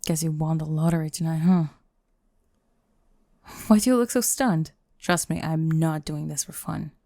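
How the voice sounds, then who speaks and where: Woman acting a low, creaky, sardonic goth bully girl. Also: normal speed, mellow, dry recording, studio quality. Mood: grudging annoyance. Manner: teasing, grumbling, sighing, dropping into breathy murmurs. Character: sardonic goth bully girl, young, secretly flustered tsundere. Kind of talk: ASMR roleplay, intimate acting addressed to listener. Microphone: close microphone, dry.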